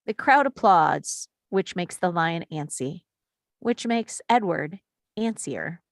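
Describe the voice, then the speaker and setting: A woman reading the action lines of a screenplay at a table read, in a steady, descriptive way. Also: fairly low pitch, takes it slowly, no room sound, clean studio sound. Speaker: reader of stage directions.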